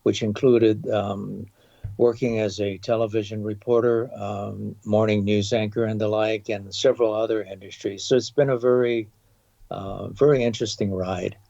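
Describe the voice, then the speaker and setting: This is a man in his seventies from Hawaii, speaking slowly and thoughtfully as a podcast guest, pausing often as he remembers. His voice sits low with a gravelly edge. The recording is dull and dry, with a lo-fi feel. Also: fairly even intonation, warm tone, no echo. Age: 70s. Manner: measured, with frequent um and uh pauses. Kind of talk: thoughtful reminiscing interview.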